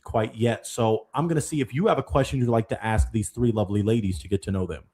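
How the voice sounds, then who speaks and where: A man hosting a live dating show, playful and quick to address the guests with formal pet names. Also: fairly low pitch, a little hiss or hum. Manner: playful, animated, addresses guests as kind sir. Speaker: host.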